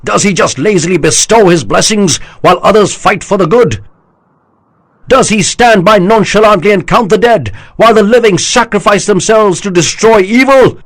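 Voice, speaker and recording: A man's voice: high voice, moderate pace, pitched above the performer's own voice. A man voicing a commanding divine warrior leader who bellows to rally his army in a storybook reading. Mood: rousing. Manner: bellowing, rousing.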